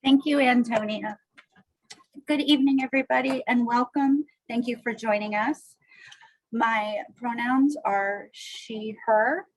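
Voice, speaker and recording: Slow-speaking woman moderating a call. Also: on the high side, no echo, poor audio. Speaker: panel moderator. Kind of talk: video call panel moderation. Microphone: video call.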